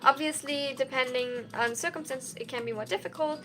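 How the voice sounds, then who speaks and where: A woman's voice: high-pitched, a little fast, fairly bright tone. A woman of twenty-one chatting casually on a livestream, answering viewer questions in a giggly way full of "like" and "um". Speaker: streamer and college student.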